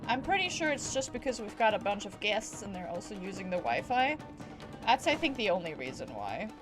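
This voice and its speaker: A woman's voice: medium pitch, very animated, a little fast. A woman livestreaming a video game, chatting casually and playfully to the game and her viewers. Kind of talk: casual gameplay commentary and chat. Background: video game music.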